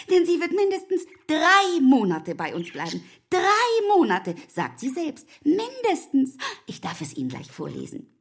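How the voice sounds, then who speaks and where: An actress playing a chattering village spinster who rambles and gushes, in a radio drama. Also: female voice, high-pitched. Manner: rambling, effusive, loses her thread. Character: chattering spinster village woman, breathless gossip.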